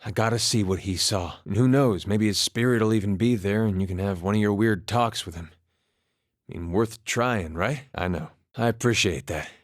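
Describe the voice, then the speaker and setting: An actor playing a wry, easygoing farmer and war veteran with a folksy, understated way of talking, in a video game. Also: male voice, on the low side, moderate pace, no room sound, studio quality, lightly acted. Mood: earnest, determined. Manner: folksy, understated, dryly humorous, drops words. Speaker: video game companion character. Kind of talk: video game voice acting, conversational dialogue.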